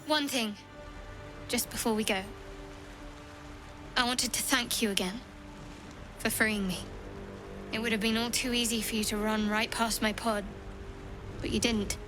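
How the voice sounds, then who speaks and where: A guarded young woman cleric from a fantasy video game, played by an actress. Her voice sits fairly high, and she talks in measured, matter-of-fact phrases with a dry edge. Music plays clearly behind her. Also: fairly even intonation, close to an everyday voice. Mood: sincere gratitude. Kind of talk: video game cutscene dialogue.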